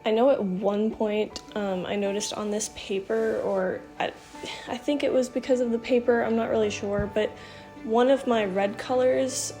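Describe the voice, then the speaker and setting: A woman's voice: a little high, moderate pace, soft background music. A young woman with a stuffy voice from being sick, casually talking through her coloring in a rambling voiceover. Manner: relaxed, rambling with many ums. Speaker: art channel host. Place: home room, indoors. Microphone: camera mic, then voiceover.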